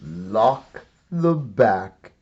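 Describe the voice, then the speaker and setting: A man reads a children's story aloud as an expressive narrator, theatrical and deliberate with comic timing. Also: on the low side, slightly rough, muffled recording. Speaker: storybook reader, art and stories teacher.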